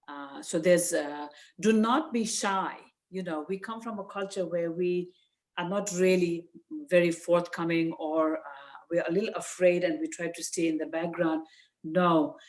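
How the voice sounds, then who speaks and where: Older Nepali-accented woman, lower-pitched voice. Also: darkish tone. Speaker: guest speaker, teacher. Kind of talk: informal advice talk to parents. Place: virtual session over video call.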